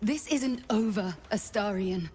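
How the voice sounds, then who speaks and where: A woman's voice: medium pitch, unhurried, warm tone, a little hiss or hum, natural voice. An actress playing a desperate, defiant female vampire spawn in a fantasy game, urgent and pleading. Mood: bitter defiance. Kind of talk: cinematic fantasy game acting.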